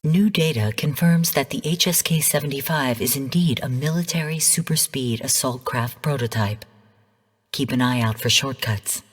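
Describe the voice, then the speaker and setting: A woman voicing a calm, calculating artificial intelligence in a video game, speaking formally and with measured, cool command. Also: rumbling low, slightly rough, in a large hall. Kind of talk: scripted video game voice lines.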